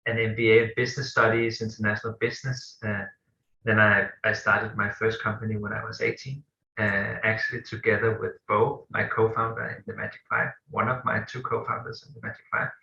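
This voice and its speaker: A Danish-accented man in his thirties speaks with a low, fairly monotone voice that still has a bright, forceful edge. He talks hesitantly, with many fillers and restarts. The sound is roomy and echoey, and a bit dull and lo-fi. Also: gravelly. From Denmark. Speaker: guest, company co-founder. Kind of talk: interview answers.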